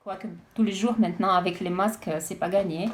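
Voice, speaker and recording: A woman chatting casually as she unboxes beauty products, hesitant and halting with frequent restarts. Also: mid-range voice, steady tone. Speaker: beauty vlogger.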